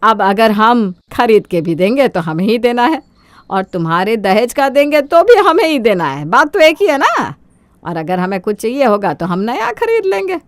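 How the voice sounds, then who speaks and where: A woman's voice: a little high, very animated, normal speed, dry recording. A woman voicing a greedy, domineering older mother-in-law in a cartoon moral story, scolding and brazen. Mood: smug, scheming.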